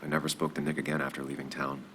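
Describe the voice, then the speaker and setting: An actor playing a brooding, loyal journalist in his thirties in a video game, with measured, understated delivery. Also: extremely low pitch, monotone, pushed and bright, a little hiss or hum, exaggerated voice. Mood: consoling, somber. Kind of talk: naturalistic video game acting.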